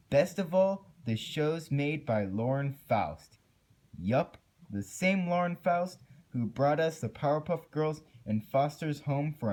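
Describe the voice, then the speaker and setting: A man's voice: mid-range voice, a little mellow. A man giving an amateur dramatic reading of a first-person horror story. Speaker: amateur creepypasta narrator.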